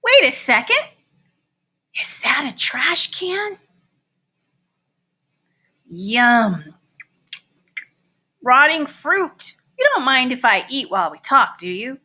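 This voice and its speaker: A woman voicing a boastful, theatrical male housefly, a proud father who scorns butterflies, in an animated children's picture book read-aloud. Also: high voice, takes it slowly, long pauses, slightly rough, heavily acted. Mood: eager.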